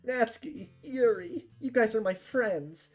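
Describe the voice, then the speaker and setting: A woman voices a high, sweet anime schoolgirl from a visual novel. She sounds innocent and earnest, pleading like a peacemaker, over faint music. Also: expressive, a little slow. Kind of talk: visual novel character voicing.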